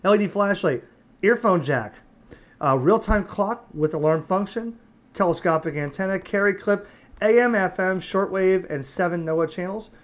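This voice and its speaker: A man giving a casual, unscripted product review, talking conversationally and haltingly as he thinks aloud. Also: high-pitched. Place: indoors at a table.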